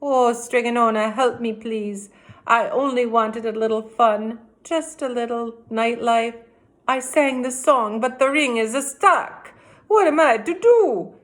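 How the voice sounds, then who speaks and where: A woman's voice: fairly high pitch, measured pace, pitched above the performer's own voice, natural voice. A woman voicing a panicked young man pleading for help in a read-aloud storybook, with exclaiming cries.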